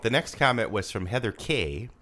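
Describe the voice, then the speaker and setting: A man's voice: lowish voice, expressive, normal speed, pushed and bright. A man co-hosting a casual podcast, reading listener comments with a joking manner, laughing often, with a slightly nasal voice. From Rapid City, South Dakota. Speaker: podcast co-host. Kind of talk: casual chat.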